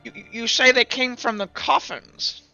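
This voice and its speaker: A man's voice: very high-pitched, unhurried, gravelly, pushed and bright, muffled recording, faint music behind, voice pushed up, full character voice. A man voicing a haughty, alarmed plague doctor in a tabletop game, questioning in a clipped, incredulous way.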